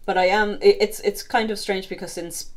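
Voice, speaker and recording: A woman's voice: mid-range voice, steady tone. A woman from South America, a native Spanish speaker, chatting casually as a guest. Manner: rambling, restarts and thoughtful pauses. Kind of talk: casual chat.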